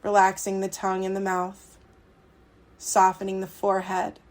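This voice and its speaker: Woman doing a yoga nidra style guided meditation, soothing, pretty flat tone, short phrases w long gaps. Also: mid-range voice, normal speed.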